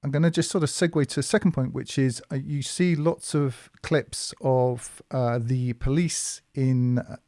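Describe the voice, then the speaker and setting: A man hosting a commentary monologue, speaking in a measured, hesitant way with many fillers and restarts. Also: medium pitch, steady tone, mellow, no room sound, clean studio sound. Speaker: commentary channel host.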